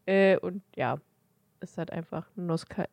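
A woman's voice: on the low side, a little slow, gravelly, darkish tone. A woman co-hosting a podcast, talking casually about films. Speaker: podcast co-host.

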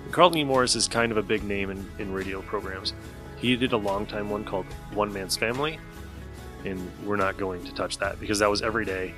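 A man's voice: fairly low pitch, fairly even intonation, fairly quick, music in the background. A man hosting a podcast, chatting casually as he introduces old radio shows. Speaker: podcast host.

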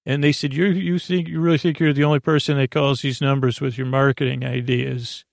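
A man hosting a bedtime podcast in a creaky voice, meandering and goofy, with hesitant restarts and tangled tangents. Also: medium pitch, little pitch movement, a little fast, no room sound, studio quality. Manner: goofy, silly. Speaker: podcast host. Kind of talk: rambling bedtime story podcast monologue.

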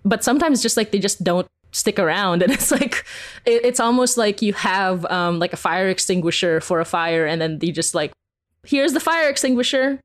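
A woman's voice: mid-range voice, animated, moderate pace. A young adult woman chatting casually as an interview guest, giggly and often acting out imagined remarks. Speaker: podcast creator and interview guest.

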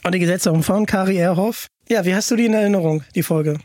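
Male podcast host, notably high voice, casual moderation, close dry recording. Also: fast, a little mellow, no echo.

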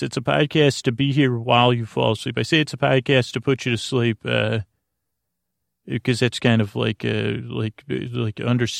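A man with a creaky voice talks gently and soothingly as a sleep podcast host, meandering, hesitating and repeating half-finished words. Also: medium pitch, no room sound, clean studio sound. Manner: meandering, hesitant, often repeats and trails off mid-word; soothing, lulling. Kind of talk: rambling bedtime monologue, talking gently to the listener.

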